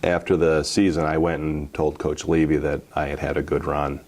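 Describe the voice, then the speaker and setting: A man in his forties, a former football player, telling stories from his playing days in a relaxed interview, with many fillers and restarts. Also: a bass voice, normal speed. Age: 40s. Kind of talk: casual storytelling interview.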